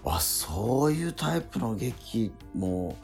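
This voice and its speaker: A man co-hosting a radio show in casual chat, telling stories excitably with repeated emphatic words. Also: lowish voice, slow, vocal fry, soft background music. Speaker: radio show co-host and stage actor. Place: recorded radio programme.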